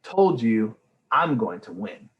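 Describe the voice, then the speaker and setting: A man's voice: on the low side, big pitch swings, takes it slowly, dull audio. A man voicing a smug, know-it-all young brother in a storybook, matter-of-fact and needling.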